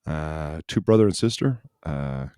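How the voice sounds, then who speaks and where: A man with a deep, mellow voice, hosting a podcast in easy, casual chat. He wanders through his story and circles back on himself. The sound is dry and close, with no room around it. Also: expressive, unhurried, clean tone, no room sound. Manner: rambling, loose storytelling that circles back on itself. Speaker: podcast host.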